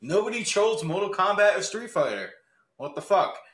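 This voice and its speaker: Brief: male debate host, lively high-ish delivery, jokey and sweary, roomy mic with faint noise. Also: normal speed. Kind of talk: casual comic moderating.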